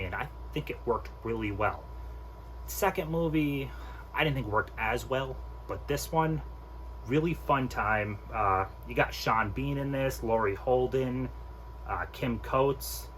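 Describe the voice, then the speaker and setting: A man's voice: on the low side, fairly bright tone, a little hiss or hum, poor audio. A man hosting a casual movie countdown review, speaking informally and with strong opinions, with some mild swearing. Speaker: host of a movie countdown video.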